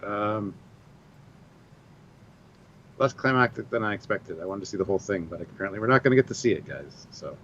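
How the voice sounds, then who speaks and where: A man's voice: fairly low pitch, animated, a little fast, long pauses, a bit bright, lo-fi sound, a little hiss or hum. A man co-hosting a casual live discussion over a video call, dry and sardonic. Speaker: co-host. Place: home room.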